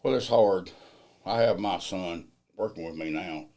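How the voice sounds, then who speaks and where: A middle-aged man with a low, deep voice talks about his work in a loud, plainspoken way. His grammar is loose and folksy, and his tone stays mellow and relaxed throughout. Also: unhurried. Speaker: field crew general foreman. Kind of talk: casual interview answers.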